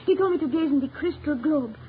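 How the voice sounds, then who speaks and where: Actress as high-pitched frightened woman, tinny old radio. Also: close to an everyday voice. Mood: anxious, haunted. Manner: dramatic, halting, emphatic. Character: frightened, insistent young woman who escaped a strangler. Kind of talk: old-time radio melodrama acting. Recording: tinny, narrow, hissy vintage radio recording.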